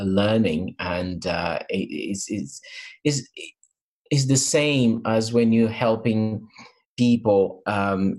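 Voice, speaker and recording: ポルトガル語が母語の男性、とても低い声、インタビューで「えー」と言いよどみながら話す。. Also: male voice, on the low side, a little mellow. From Portugal and Africa. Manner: hesitant, many fillers and restarts. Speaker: interview guest, mentor. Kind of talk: interview answers. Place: in England.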